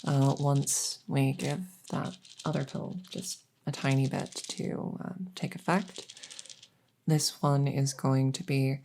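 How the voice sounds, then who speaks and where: A woman playing a kindly, rambling vet in an ASMR audio roleplay, explaining things softly and hesitantly with lots of reassurance. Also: rumbling low, flat delivery, slow pace, creaky, a little hiss or hum, heavily acted. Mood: gentle, clinical. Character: kindly, rambling female vet for supernatural patients. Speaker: voice roleplay performer.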